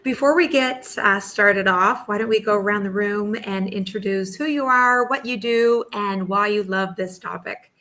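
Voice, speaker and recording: Bright-voiced lady hosting a webinar panel, upbeat, welcoming, video call sound. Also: medium pitch, moderate pace, few pauses. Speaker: moderator.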